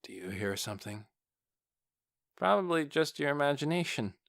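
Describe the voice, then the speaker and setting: A man's voice: fairly high pitch, sing-song, normal speed, vocal fry, fairly bright tone, no room sound, studio quality, voice pushed up, full character voice. A man voicing an ordinary, chatty night-watch guard in an audiobook, griping in a colloquial way. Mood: uneasy. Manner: colloquial, laid-back griping. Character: casual, chatty male night-watch guards, uneasy but joking. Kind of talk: audiobook character dialogue.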